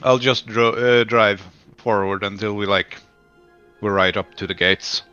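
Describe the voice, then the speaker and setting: A man playing a tabletop game, talking in a casual, halting way. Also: on the low side, steady tone, sharp tone, clean tone, highs cut off, soft background music. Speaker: player. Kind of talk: casual roleplay chat.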